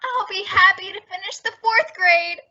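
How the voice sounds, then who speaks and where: A female performer acts a frightened little girl of nine in a vintage radio comedy. The voice is whiny and extremely high. The recording is dull with loud noise. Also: normal speed. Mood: woeful. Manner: whining. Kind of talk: old-time radio family comedy acting.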